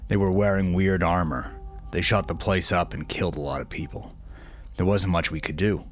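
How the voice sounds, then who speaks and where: A man's voice: low-pitched, fairly even intonation, normal speed, soft background music, lightly acted. A man voicing a shaken, plain-spoken eyewitness recounting events in a radio interview.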